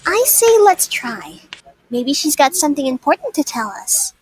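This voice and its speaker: A woman's voice: high voice, sing-song, normal speed, no echo. A woman playing an eager, caring young teenage girl in a comic fan dub. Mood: eager, worried.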